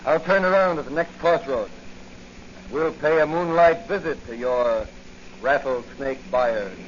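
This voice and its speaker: An actor playing a confident, brisk patrolman and secret masked crime-fighter in a 1940s radio drama, speaking with an inquisitive air. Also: fairly high pitch, natural voice. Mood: easygoing, curious. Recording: dull, noisy 1940 radio recording.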